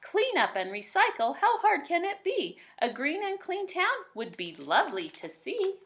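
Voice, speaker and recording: A woman's voice: very high voice, wildly swooping pitch, unhurried, fairly bright tone, pitched above the performer's own voice, heavily acted. A woman voicing an eager, chatty townswoman in a children's storybook, in a persuasive manner. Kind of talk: children's storybook character reading.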